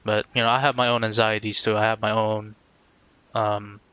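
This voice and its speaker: A man chatting casually on a game livestream in an earnest, halting, reflective way. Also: fairly low pitch, little pitch movement, bright, forceful tone, clean tone, no room sound. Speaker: game streamer.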